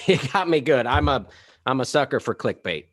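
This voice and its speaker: A man's voice: medium pitch, lively intonation, moderate pace, lightly acted. A man playing a wisecracking suburban dad close to retirement, in a hammy comedy table read. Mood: cheeky.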